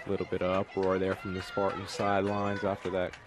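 A man giving relaxed color commentary at an outdoor soccer match at night. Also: low-pitched, fast talker. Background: crowd noise.

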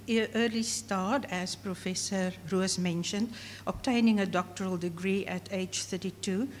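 A middle-aged woman whose first language is Afrikaans, giving a formal academic lecture from a prepared text at a podium. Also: medium pitch. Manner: measured, read from a prepared text at a lectern. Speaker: professor giving inaugural lecture. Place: large hall, at a podium. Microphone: podium microphone. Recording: long hall reverb, faint room noise.

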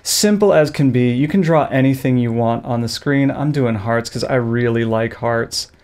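A man in his thirties walks viewers through a gadget setup in a loose, jokey way. He speaks at an ordinary pitch, close to a studio boom microphone in a small studio. His asides are playful and silly. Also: moderate pace, a little mellow. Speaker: video tutorial host. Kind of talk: loose, jokey tech tutorial. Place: small studio with a blue backdrop. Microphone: studio mic on a boom arm, close.

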